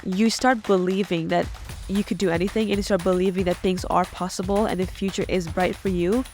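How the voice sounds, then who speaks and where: A woman's voice: lowish voice, steady tone, brisk, a little mellow, soft background music. A young woman from Iran, telling her story earnestly and reflectively as a podcast guest in a small studio. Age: young adult. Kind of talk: podcast interview. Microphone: boom mic on stand.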